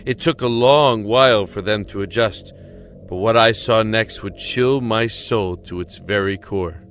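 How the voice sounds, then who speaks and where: A man's voice: fairly low pitch, a little slow, a bit bright, a little hiss or hum. An actor playing a desperate imprisoned man in an audio drama, telling his story in an earnest, emotive, brooding way. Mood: brooding, haunted. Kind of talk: dramatic first-person audio drama narration.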